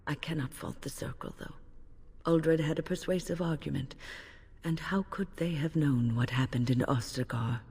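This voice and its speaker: A woman playing an older mage in a fantasy video game, grave, weary and composed, telling things in a measured way. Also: deep voice, a little mellow, slightly rough, in a large hall, heavily acted.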